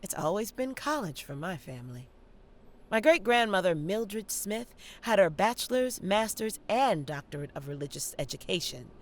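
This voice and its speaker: A woman's voice: medium pitch, big pitch swings, normal speed, close to an everyday voice. A young woman with an American accent acting a savvy theater student in an audio play, sardonic and theatrical as she talks to the audience. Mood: candid, proud. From Detroit, United States. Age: young adult. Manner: theatrical, sardonic, swinging between confiding and performing. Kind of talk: dramatic monologue to the audience.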